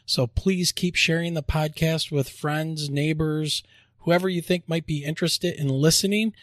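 An upbeat man co-hosting a podcast, with a somewhat high voice. He chats casually and laughs easily, recorded close in a dry, dead room. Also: fairly even intonation, measured pace, a bit bright, no room sound. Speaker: podcast co-host.

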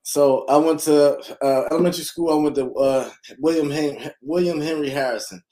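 Relaxed man telling his story. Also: fairly high pitch, moderate pace, poor audio. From North Philadelphia, USA. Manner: relaxed, rambling, lots of fillers. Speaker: guest, real estate investor and lender. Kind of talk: casual interview storytelling.

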